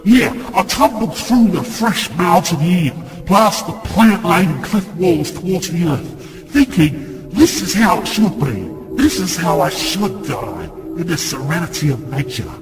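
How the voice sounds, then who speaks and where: A man's voice: sits high, lively intonation, a little slow, over music, low-quality recording. An actor playing a man who deadpans through the story of his own absurd death in a radio drama. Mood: wry.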